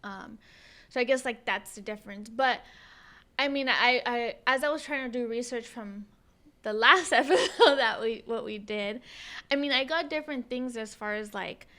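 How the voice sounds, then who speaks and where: A woman's voice: fairly high pitch, normal speed, sharp tone. An American woman co-hosting a podcast in a casual, informal chat. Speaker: podcast co-host.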